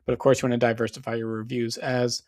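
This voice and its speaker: A man narrates a screen-share walkthrough in a loose, conversational way, stopping often for ums and restarts. His voice is starting to go hoarse, and he clears his throat now and then. He sits at a middling pitch for a man. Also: little pitch movement, quick pace, a little mellow. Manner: casual, explanatory, frequent fillers and restarts. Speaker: SEO business owner.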